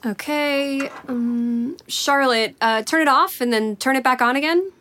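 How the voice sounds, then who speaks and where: A woman's voice: sits high, measured pace, fairly bright tone, slight background noise. A woman playing a good-natured, hapless young engineer in a comic audio drama, with an American accent and casual delivery. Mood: hopeful, hapless.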